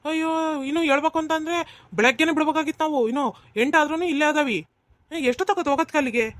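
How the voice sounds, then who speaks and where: A performer voicing an impatient village father, North Karnataka accent, fast, dry recording. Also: high voice, a bit bright, no room sound. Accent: North Karnataka Kannada. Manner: impatient, hurrying others. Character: impatient village father of the bride, middle-aged man. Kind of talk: cartoon voice acting.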